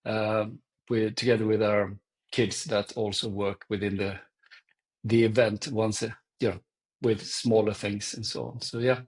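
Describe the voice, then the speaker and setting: A man from Sweden with a Swedish accent and Swedish as his first language, telling his story in a halting, thoughtful way as a podcast guest. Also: fairly low pitch, little pitch movement. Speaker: guest, event company CEO. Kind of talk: podcast interview.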